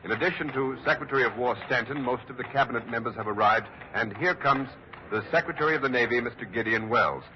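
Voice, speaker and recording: An actor playing a grave, earnest news reporter in a dramatic, formal 1940s radio style. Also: male voice, mid-range voice, quick pace, lightly acted. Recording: muffled, noisy 1940s radio broadcast.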